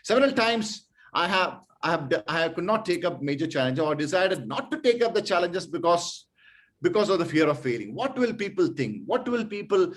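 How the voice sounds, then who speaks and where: A man's voice: high voice, sing-song, moderate pace. A man chairing an online speaking club meeting, hesitant with fillers, then giving an earnest motivational talk full of rhetorical questions.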